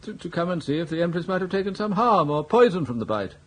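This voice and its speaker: An actor playing a dithery, indignant elderly English earl with an upper-class accent in a radio comedy drama, vague and fussy, prone to babbling. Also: male voice, a little high, very animated, fast talker. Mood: aggrieved, flustered.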